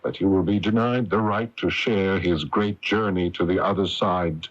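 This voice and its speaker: An actor playing an earnest young hero with dramatic intensity in an old radio drama. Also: male voice, deep voice, steady tone, moderate pace. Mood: cold anger. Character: earnest young man, Egyptian royal heir and modern counterpart. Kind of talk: 1980s radio drama acting. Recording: dull lo-fi old radio broadcast.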